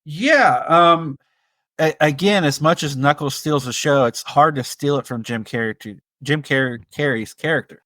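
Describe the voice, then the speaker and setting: A man co-hosting a casual film podcast, speaking in a hesitant, halting but appreciative way. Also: on the high side, pushed and bright, dry recording, clean studio sound. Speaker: podcast co-host. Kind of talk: casual movie discussion podcast.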